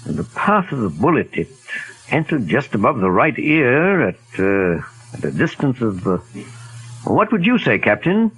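An actor playing a calm, polite, inquisitive gentleman detective in a 1940s radio drama. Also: medium pitch, moderate pace, husky, exaggerated voice, poor audio. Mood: probing, courteous. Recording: old 1940s radio recording.

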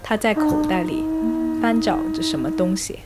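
A woman's voice: high voice, moderate pace, very noisy. A woman hosting an arts podcast, delivering scripted first-person narration. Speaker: podcast host and arts reviewer.

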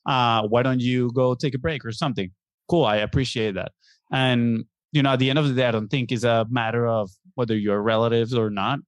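A man's voice: mid-range voice, steady tone, a little fast, dry recording. Guy from venezuela, 30s, earnest podcast guest explaining stuff, mid voice, dry mic. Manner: earnest, explaining, often ends points with "right?". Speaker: guest, business co-founder. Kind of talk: casual interview conversation.

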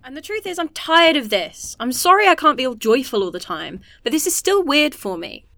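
A restless, homesick young woman in an audio drama. Her high voice moves with lively intonation and is captured very dry, in natural dialogue. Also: brisk, dry recording, full character voice. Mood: frustrated, homesick.

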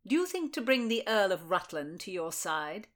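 A woman voicing a cold, menacing noblewoman interrogator who speaks slowly and quietly. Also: sing-song. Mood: accusing. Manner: slow, low, icy and controlled.

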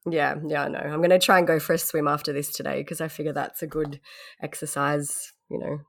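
A woman's voice: low voice, brisk, gravelly, no room sound, studio quality. A woman in her thirties co-hosting a podcast, talking casually with a blocked-up nose. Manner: relaxed, chatty, laughs often. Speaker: podcast co-host. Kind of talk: casual chat.

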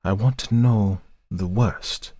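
A man voicing a calm, cold foreign woman in an audiobook, quiet and deliberate, with hatred smoldering underneath. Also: medium pitch, breathy edge, no room sound, studio quality, voice pushed up. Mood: composed, probing. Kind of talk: audiobook character voice.

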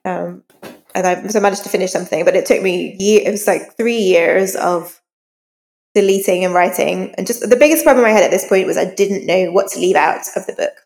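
A woman's voice: lowish voice, a little fast, gravelly, darkish tone. A woman speaking as an interview guest, informal and self-deprecating, telling personal anecdotes in a conversational way. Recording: long reverberant hall echo.

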